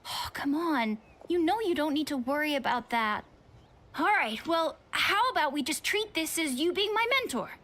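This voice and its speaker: A woman's voice: high voice, expressive, moderate pace, a bit bright. A woman voicing an eager young woman who insistently pushes her best friend to train. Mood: coaxing. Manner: bubbly and insistent. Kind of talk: video game voice acting. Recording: game music underneath.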